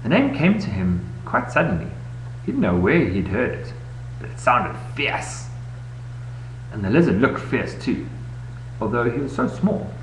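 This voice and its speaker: A man reading a children's bedtime story aloud in an expressive way, recorded on a webcam. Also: mid-range voice, a little slow, long pauses. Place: indoor room, webcam recording. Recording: echoey room, noisy webcam audio with hum.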